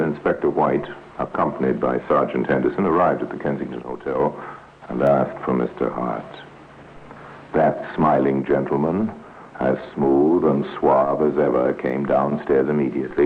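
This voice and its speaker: A man narrating a 1950s radio crime drama in a measured, solemn, dramatic way, as a grave storyteller. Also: rumbling low, steady tone. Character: grave male storyteller of a police crime tale. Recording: tinny, noisy 1950s radio recording.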